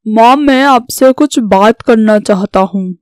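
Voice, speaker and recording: Woman voicing an earnest young soldier, high-pitched. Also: unhurried, clear, resonant tone, no room sound, studio quality, natural voice. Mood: earnest, resolute. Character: earnest, patriotic young man becoming a soldier. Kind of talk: scripted Hindi cartoon story dialogue.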